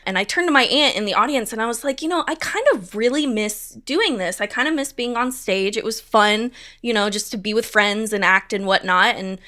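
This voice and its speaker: A woman's voice: fairly high pitch, brisk, few pauses, bright, forceful tone. A young adult woman, a stage manager, chatting casually and upbeat in an interview, with many fillers.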